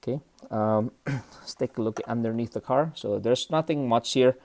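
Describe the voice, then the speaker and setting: A man's voice: medium pitch, normal speed, darkish tone, no echo. A man from Indonesia hosting a casual, unscripted model car review, speaking hesitantly in short broken phrases and often checking in with a brief questioning tag.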